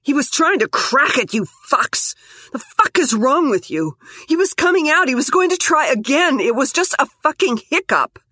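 A woman's voice: high voice, big pitch swings, normal speed, pushed and bright, dry recording, clean studio sound, pitched above the performer's own voice, exaggerated voice. A woman voicing a tough young cyborg mercenary, a hardened fighter who screams profanities in grief and rage. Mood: screaming rage.

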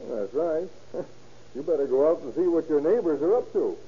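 A man acting a wry, genial police chief with a husky voice in an old radio crime drama. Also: on the high side, lively intonation, moderate pace, music in the background, lightly acted. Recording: dull old-time radio recording.

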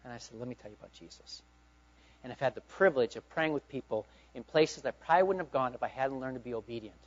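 A middle-aged male pastor preaching a sermon, swinging from loud urging to hushed, quiet asides. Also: medium pitch, fast. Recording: dull lo-fi sound.

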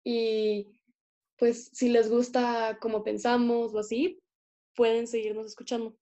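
A woman's voice: on the high side, little pitch movement, a little slow, mellow, highs cut off. A young woman from Colombia co-hosting a casual podcast, speaking earnestly with many fillers over a remote call. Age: young adult. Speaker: podcast co-host. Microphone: remote call recording.

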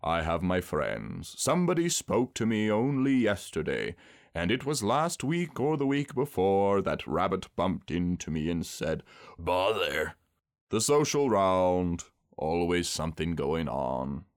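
A man voicing a gloomy, pompous, self-pitying donkey in a drawn-out, sardonic manner for a storybook. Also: deep voice, expressive. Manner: ponderous, drawn-out, sardonic speech-making.

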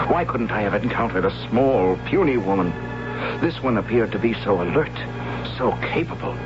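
An actor narrating as a young warrior sent into a forbidden forest, earnest and hesitant, in an old radio drama. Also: male voice, fairly low pitch. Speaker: narrator and lead character. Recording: lo-fi old radio broadcast with music.

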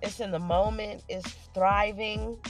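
A stuffy-nosed podcast host rambles on in loose, profane, joking talk while sitting in a parked car at night. The voice sits at a middling pitch. Music plays clearly behind the speech throughout. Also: steady tone, a little slow. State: stuffy nose. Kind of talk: casual solo podcast monologue.

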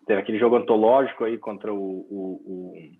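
A man's voice: on the low side, steady tone, a little fast, husky. A man casually chatting football picks on a podcast, rambling and joking. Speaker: podcast co-host, NFL picks. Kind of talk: casual sports chat. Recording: tinny phone-like line.

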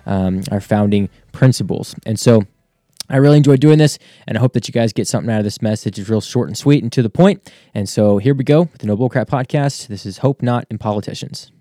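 Mellow low-voiced podcast host intro. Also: male voice, fairly quick, dry recording, clean studio sound. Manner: upbeat, earnest.

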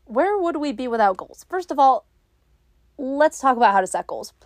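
A woman's voice: sits high, big pitch swings, moderate pace, darkish tone, dry recording. A young woman hosting her own podcast, rambling in a chatty, self-mocking way while stuffy with a cold. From New England, US. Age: young adult. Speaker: podcast host. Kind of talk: casual solo podcast chat.

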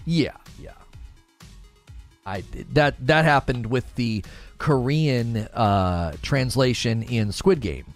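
A man's voice: medium pitch, takes it slowly, soft background music. Rambling, enthusiastic male streamer host. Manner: enthusiastic, rambling, repeats himself. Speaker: livestream talk show host. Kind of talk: casual livestream chat reading viewer comments.

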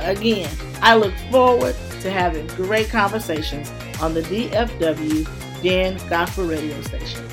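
A middle-aged woman hosting a podcast, talking in a chatty, excitable way with frequent ums, her voice congested from a cold. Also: medium pitch. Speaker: podcast and radio host. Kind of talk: casual podcast chat.

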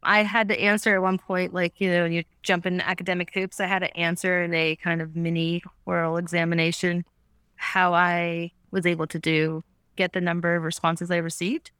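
A woman's voice: fairly low pitch, flat delivery, moderate pace, fairly bright tone, clean tone, no room sound. A woman speaking as a guest researcher in a casual chat, rambling and thinking aloud with many fillers. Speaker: podcast guest, survey researcher.

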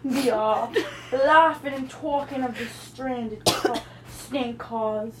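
A woman chanting a slow, singsong intro. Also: on the high side, fairly even intonation, heavy background noise. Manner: drawn-out, singsong delivery. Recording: distant voice in a roomy space.

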